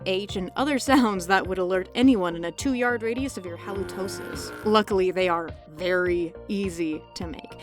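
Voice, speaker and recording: A woman narrating a scripted tutorial as host, with a playful, cheeky manner and joking asides. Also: mid-range voice. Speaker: host, indie voice actor giving tutorial. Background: background music.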